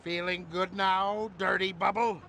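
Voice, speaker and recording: Doddery old superhero geezer, high creaky voice, slow and hammy, cartoon game. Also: male voice, fairly even intonation, sharp tone, a little hiss or hum, exaggerated voice. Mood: befuddled, genial. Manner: pompous heroic declaiming, befuddled. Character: doddering old male superhero, earnest, hammy, easily confused. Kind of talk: cartoon video game acting.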